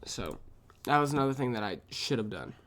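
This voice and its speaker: Sniffly man, casual profane trade recap, mid-pitched, keyboard clicks behind. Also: animated, fairly quick, fairly bright tone, a little hiss or hum. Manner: thinks aloud, profane, mutters to himself. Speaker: day trader reviewing his trades.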